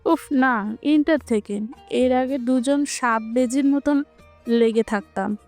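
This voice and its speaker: A woman's voice: high-pitched, slow, a little mellow, soft background music, voice pushed up. A woman voicing a playful, chatty, bossy young woman who acts like a big sister in a romantic audio story. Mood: chatty, confiding.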